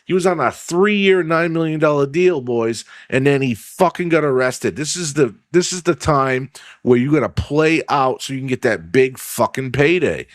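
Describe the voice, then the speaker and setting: A man hosting a casual sports podcast, rambling and swearing as he reads news aloud with his own asides, his voice going hoarse. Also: mid-range voice, animated, normal speed, no echo, clean studio sound. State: losing his voice. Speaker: podcast host.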